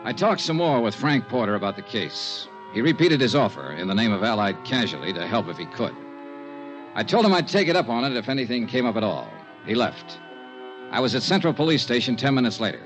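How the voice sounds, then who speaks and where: Man acting hard-boiled American radio detective, lively pitch swings. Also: fairly quick, over music, close to an everyday voice. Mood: matter-of-fact narration. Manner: clipped, terse, hard-boiled delivery. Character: dogged, hard-boiled male insurance investigator narrating his case. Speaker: lead actor and narrator. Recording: vintage 1950s radio recording.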